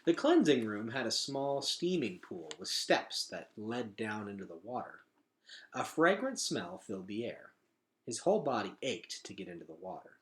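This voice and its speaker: A man reading a children's story aloud as a teacher, with expressive, dramatic emphasis. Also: fairly low pitch.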